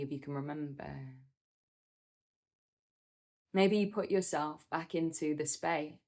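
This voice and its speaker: A woman leading a guided meditation in a soothing, gentle manner, with measured instructions and pauses. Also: low voice.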